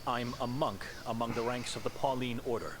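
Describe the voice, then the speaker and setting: A man's voice: medium pitch, fairly even intonation, brisk, lightly acted. Man acting an earnest monk, noisy background. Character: devout, indignant male monk detained in strange town. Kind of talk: voice acting in a horror detective game. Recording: clear background noise.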